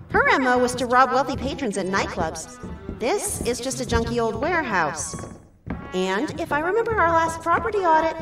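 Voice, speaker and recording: A woman's voice: high voice, a bit bright, far from the mic. An actress playing an earnest, suspicious female superhero in a comedic audio drama. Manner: skeptical, earnest. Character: sharp, suspicious female superhero, protective and loyal. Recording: echoey, off-mic, music behind.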